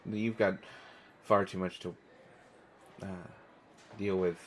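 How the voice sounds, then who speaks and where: A man's voice: low-pitched, fairly even intonation, slow, a little hiss or hum, low-quality recording. A man playing a shy, awkward, kind stranded traveler in an audio roleplay, hesitant, stammering and apologetic. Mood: awkward, gentle.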